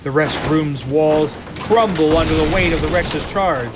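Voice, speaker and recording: A man giving a scripted, measured, dramatic narration of action scenes in the present tense. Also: fairly high pitch, noisy background.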